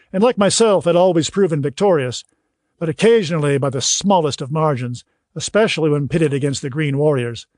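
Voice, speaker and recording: A man reading scripted audiobook narration in a measured, literary storytelling manner. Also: on the high side, a little mellow, no echo.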